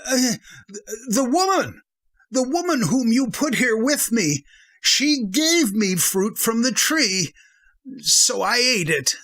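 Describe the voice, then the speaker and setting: Man acting a stammering, high-voiced guilty man. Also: big pitch swings, slow pace, pushed and bright, big-room sound, heavily acted. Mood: defensive. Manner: stammering, hesitant. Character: frightened, guilty first man making excuses. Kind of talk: dramatic scripture reading.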